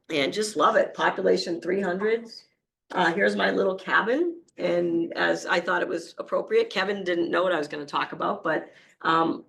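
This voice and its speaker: Woman, forties, Boston accent, hoarse and gravelly, warm storytelling conference speaker. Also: fairly low pitch. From Boston area, Massachusetts. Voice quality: gravelly, creaky. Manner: animated, storytelling, frequent fillers. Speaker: wildlife conservation speaker. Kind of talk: public talk with slides.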